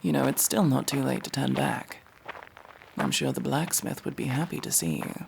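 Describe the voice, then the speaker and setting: Woman acting a gravelly, low-voiced masked elf. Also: fairly even intonation, normal speed, full character voice, poor audio. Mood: brisk, teasing. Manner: curt, bossy, hushed delivery with breathy whispers. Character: masked tsundere elf rescuer, gruff, world-weary, guarded. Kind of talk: ASMR fantasy roleplay acting. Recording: faint background noise with ambience.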